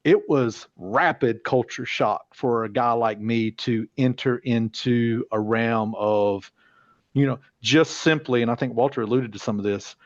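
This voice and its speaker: A man's voice: medium pitch, measured pace, no room sound. A man co-hosting an EMS podcast, speaking thoughtfully and searching for words. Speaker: podcast co-host. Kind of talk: casual chat. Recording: dry, dull lo-fi audio.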